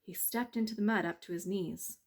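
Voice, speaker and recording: A woman narrating a children's bedtime story in a measured, expressive read-aloud manner. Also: mid-range voice.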